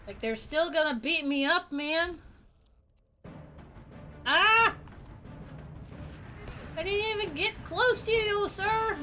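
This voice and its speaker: A woman streaming a game, chatting in a relaxed way to her viewers and to the monsters she fights. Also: very high voice, slow pace, long pauses, faint music behind. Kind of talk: casual game stream chat.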